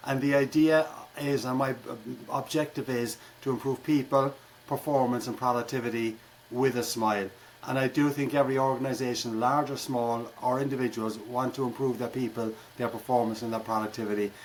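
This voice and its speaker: A mature man with an Irish accent talks in a conversational interview with a wry, joking way of putting things. His voice sits at a middle pitch, and some faint background noise comes through. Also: fairly even intonation, brisk, low-quality recording. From Ireland. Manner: wry, jokey, colloquial turns of phrase. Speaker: guest, keynote speaker.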